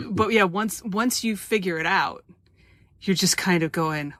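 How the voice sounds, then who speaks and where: A woman's voice: lowish voice, unhurried, dry recording, studio quality. A woman hosting a casual interview, speaking informally and thoughtfully with emphatic phrasing. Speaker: podcast host.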